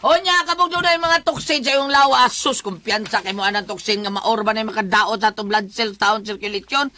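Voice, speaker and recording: A woman's voice: fairly high pitch, brisk, sharp tone, a little hiss or hum. A woman with a Cebuano Filipino accent hosting casual live radio, chatting and giving ad-libbed greetings and plugs. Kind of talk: casual live radio banter and ad-lib promotion.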